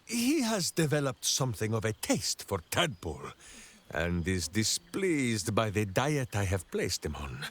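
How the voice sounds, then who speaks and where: An actor playing a boisterous, kindhearted, simple-minded warrior who talks in a grandiose, quaint way, in a video game. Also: male voice, fairly low pitch, huge pitch swings, measured pace, heavily acted. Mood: hearty. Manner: grandiose, quaint third-person speech. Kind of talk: video game character acting.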